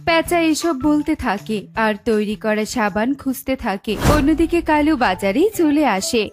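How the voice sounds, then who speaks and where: A woman's voice: sits high, lively intonation, normal speed, faint music behind. A woman storyteller giving scripted narration for a children's tale.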